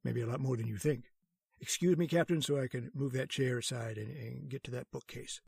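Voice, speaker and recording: A man acting a calm, learned hospital doctor in a radio horror drama, speaking in a measured, authoritative way. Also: medium pitch, gravelly, husky, no echo, clean studio sound. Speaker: voice actor.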